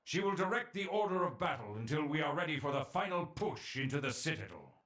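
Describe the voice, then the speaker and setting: Stern, imperious army commander. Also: male voice, fairly high pitch, big pitch swings, fairly bright tone. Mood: commanding, curt. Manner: formal, imperious, measured. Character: stern, proud male military commander, disdainful of his allies. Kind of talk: fantasy video game voice acting.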